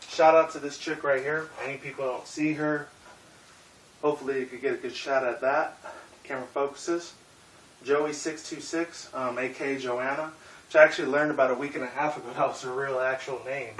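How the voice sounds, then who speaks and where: A young man in his twenties talks loosely in a casual vlog, rambling, swearing and filling gaps with "like" and "um." His voice is bright and forward. He sits back from the mic in an echoey room with a faint hiss. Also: medium pitch. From Puerto Rican and Mexican heritage. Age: 20s. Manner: rambling, profane, filler-heavy. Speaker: YouTuber. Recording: roomy echo, mic at a distance, faint hiss.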